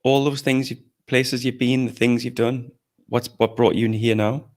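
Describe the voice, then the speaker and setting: A man's voice: mid-range voice, fairly even intonation, moderate pace, darkish tone. Encouraging male event host. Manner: encouraging, teasing, repeats and rephrases questions. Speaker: host interviewer at a live online showcase. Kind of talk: informal, upbeat event interview.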